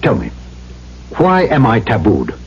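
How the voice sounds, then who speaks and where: An actor playing an uneasy, stubborn island trader who narrates and questions dramatically in an old-time radio drama. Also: male voice, mid-range voice, wildly swooping pitch, measured pace, a little hiss or hum. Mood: agitated, demanding. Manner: dramatic first-person storytelling and pointed questioning. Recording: muffled old radio broadcast with music.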